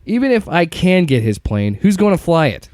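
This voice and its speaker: Animated, skeptical agent. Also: male voice, fairly high pitch, moderate pace, no echo, studio quality. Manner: questioning, incredulous. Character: skeptical, exasperated government agent. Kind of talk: scripted audio drama acting.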